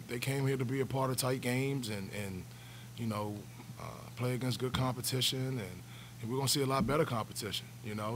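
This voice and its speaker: Earnest basketball coach at a press conference. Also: male voice, mid-range voice, slight background noise. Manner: earnest, repeats words when restarting. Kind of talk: postgame press conference answers. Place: press room, seated at a table before a backdrop. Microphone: table stand mic.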